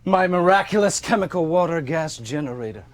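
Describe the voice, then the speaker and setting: An actor on stage playing a smooth, condescending Victorian doctor and swindling showman, pompous and theatrical. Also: a little high, animated, fairly quick, lightly acted. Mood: grandiose salesmanship. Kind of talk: period stage play acting. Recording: live theatre stage recording with music.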